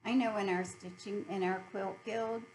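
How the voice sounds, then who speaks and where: A woman's voice: medium pitch, fairly even intonation, moderate pace, darkish tone, a little hiss or hum, poor audio. A middle-aged American woman chatting casually and cheerfully to viewers as host of a hobby video channel.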